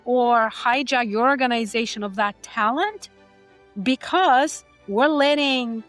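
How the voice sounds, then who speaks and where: A woman's voice: on the high side, takes it slowly, fairly bright tone, soft background music. A woman from Greece, a podcast guest, explaining her views in an earnest, conversational way with occasional restarts. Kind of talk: conversational interview.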